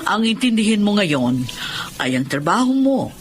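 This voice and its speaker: A woman's voice: medium pitch, big pitch swings, normal speed, lightly acted. A woman playing a kind, firm attorney and employer in a radio drama, reassuring and motherly.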